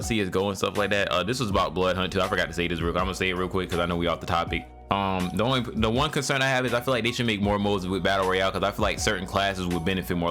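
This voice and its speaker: Young male co-host: quick, rambling, slangy, low-pitched, soft music bed. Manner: rambling, restarts often, slangy. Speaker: podcast co-host. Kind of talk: casual chat.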